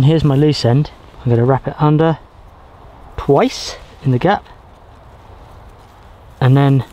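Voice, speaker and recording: A man's voice: medium pitch, dark tone. Man explaining bushcraft in the woods. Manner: explains while working with his hands, restarts often. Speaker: bushcraft vlogger. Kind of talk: casual outdoor how-to narration. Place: outdoors in a pine forest.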